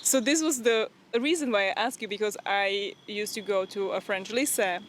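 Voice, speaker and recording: A woman's voice: mid-range voice, moderate pace, a bit bright, a little hiss or hum. A woman with a non-native English accent interviewing a friend, speaking thoughtfully and hesitantly. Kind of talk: casual conversational interview.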